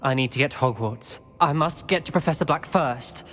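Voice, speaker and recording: A woman's voice: low voice, brisk, a bit bright, in a large hall, dull audio. A woman playing an anxious young wizard-school student in a video game, speaking urgently and earnestly. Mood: urgent, resolute. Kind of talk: dramatic video game acting.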